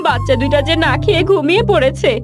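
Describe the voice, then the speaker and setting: A woman voicing a weary, gentle poor village wife and mother in a moral-story cartoon, plaintive and worried. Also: high-pitched, fast, music in the background, lightly acted. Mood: worried, sorrowful.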